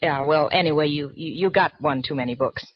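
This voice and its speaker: A breezy, wisecracking library clerk teases in casual slang. The 1940s radio recording is dry and dull. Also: female voice, sits low, fairly quick, no echo. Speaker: library assistant.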